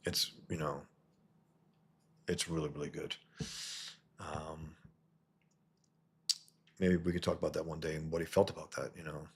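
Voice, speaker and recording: Low, husky man chatting. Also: flat delivery. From New York, USA. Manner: halting, restarts and repeats words. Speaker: livestream host and comic artist. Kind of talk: casual livestream chat reading viewer comments.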